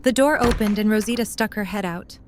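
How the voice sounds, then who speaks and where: A woman's voice: mid-range voice, fairly quick, noisy background. A woman reading scripted story narration as the narrator of a drama.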